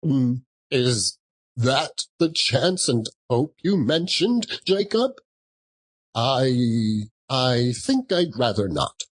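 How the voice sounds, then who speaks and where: A man's voice: mid-range voice, big pitch swings, very slow, voice pushed up, heavily acted. A man voicing a frightened old miser in a storybook reading, timid, stammering and pleading. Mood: timid, faltering. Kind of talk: dramatic audiobook reading.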